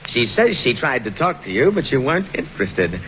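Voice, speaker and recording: An actor playing a glib, self-satisfied talent agent with a chummy, smug manner in an old-time radio comedy. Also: male voice, medium pitch, sing-song, brisk, a bit bright. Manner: chummy, smug, stammers when flustered. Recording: muffled, noisy old radio recording.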